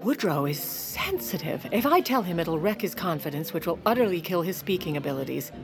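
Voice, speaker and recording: A woman playing a sharp, scheming First Lady, confiding wryly in a comedic audio drama. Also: lowish voice, lively intonation, brisk, creaky, music in the background. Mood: insistent. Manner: conspiratorial, wry storytelling.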